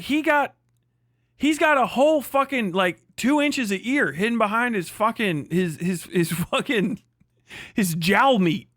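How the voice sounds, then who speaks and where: A man with a high, bright, forceful voice, recorded close and dry. He hosts a livestream, riffing crudely on what he watches with heavy swearing and an exclamatory, mocking delivery. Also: no room sound. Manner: often breaks into laughter at own riffs. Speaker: livestream host. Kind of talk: mocking, profane reaction commentary on a video.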